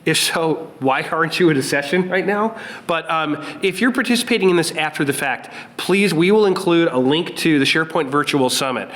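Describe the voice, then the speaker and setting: Upbeat podcast guy cohosting, kinda high voice, cracks little jokes. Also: brisk, few pauses, fairly bright tone. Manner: upbeat and joking, with playful asides. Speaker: podcast co-host. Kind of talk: casual podcast chat. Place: recorded live at a conference.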